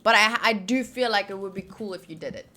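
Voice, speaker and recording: A woman's voice: medium pitch, fairly quick, bright, forceful tone. A young woman streaming a game playthrough, casually reading dialogue aloud and drifting into playful, rambling asides.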